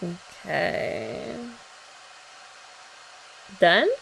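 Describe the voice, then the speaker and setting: Playful young woman, gravelly lively voice. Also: fairly low pitch, drawn-out words, rough recording. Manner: playful teasing chat, soft-spoken. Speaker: ASMR creator. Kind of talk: ASMR roleplay as girlfriend. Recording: faint hair dryer noise behind.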